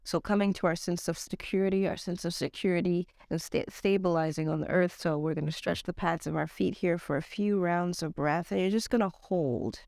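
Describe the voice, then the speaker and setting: A woman with a stuffed-up, slightly nasal voice, leading a slow guided yoga class in a casual, slangy way. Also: on the low side, creaky, no echo, clean studio sound. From Nigerian-American. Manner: casual slangy teacher, slow calm cues. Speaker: yoga teacher.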